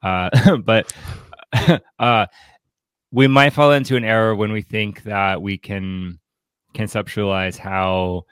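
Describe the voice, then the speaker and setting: A man with a fairly low voice hosts a casual discussion about books. He speaks thoughtfully and haltingly, with bookish phrasing. The sound is close and dry, with little room around it. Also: steady tone, fairly bright tone, clean tone, no echo. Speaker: podcast host.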